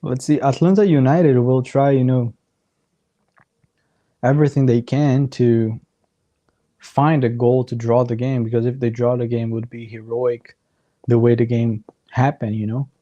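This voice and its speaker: A man hosting a casual live match watchalong, talking through the game in a relaxed way and often adding "you know". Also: mid-range voice, measured pace, highs cut off. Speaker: watchalong host.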